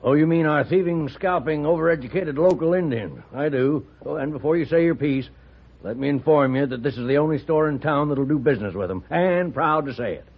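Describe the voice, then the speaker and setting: Crusty, raspy old pharmacist. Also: male voice, a little high, fairly quick. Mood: sardonic, feisty. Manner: sardonic, opinionated. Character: crusty, talkative small-town older male pharmacist. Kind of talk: 1950s radio western acting. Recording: dull old-time radio recording.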